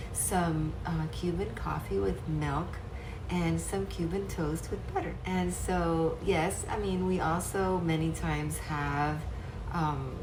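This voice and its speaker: A woman's voice: lowish voice, background noise. A woman in her fifties, born in Cuba and raised in the United States, hosts a casual home cooking vlog and talks chattily to her viewers. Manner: chatty and warm, addresses viewers as guys. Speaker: channel host. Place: home kitchen and dining room. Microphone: camera on a tripod at medium distance. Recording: roomy kitchen with music behind.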